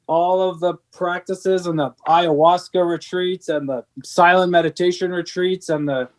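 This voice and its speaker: A man's voice: high voice, fairly even intonation, normal speed. A middle-aged man, a band's singer, talking earnestly in an interview, rambling with many restarts and fillers. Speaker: band singer. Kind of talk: candid interview conversation.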